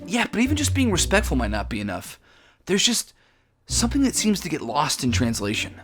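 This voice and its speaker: A man's voice: on the high side, huge pitch swings, a little fast, a bit bright, soft background music. An actor playing a jaded, argumentative video game fan, a young man speaking emphatically in a comedy sketch. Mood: explaining. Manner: opinionated, emphatic. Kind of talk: scripted comedic debate.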